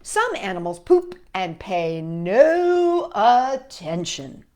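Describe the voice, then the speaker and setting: A woman's voice: medium pitch, very animated, slow pace, a little mellow. A woman hosting a children's storytime, reading aloud and chatting playfully with young listeners, often asking them questions. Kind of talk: children's picture book read-aloud with chatty asides.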